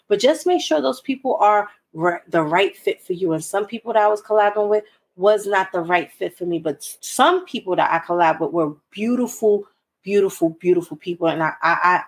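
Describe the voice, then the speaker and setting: A woman's voice: fairly low pitch, gravelly, slightly rough. A woman hosting her own podcast, nasal and congested from a cold, talking candidly and emphatically to her listeners. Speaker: podcast host. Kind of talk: solo podcast talk.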